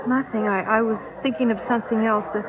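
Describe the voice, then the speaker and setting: A woman's voice: on the high side, steady tone, fast, a crowd in the background, natural voice. An actress in a classic radio drama playing a flustered, skeptical young working woman, hesitant and stammering. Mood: distracted, uneasy. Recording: tinny, noisy vintage radio recording.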